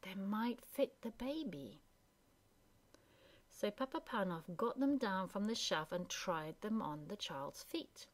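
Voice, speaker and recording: A woman narrating a children's story as a scripted, expressive reading. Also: mid-range voice, slow pace. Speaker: storybook reader.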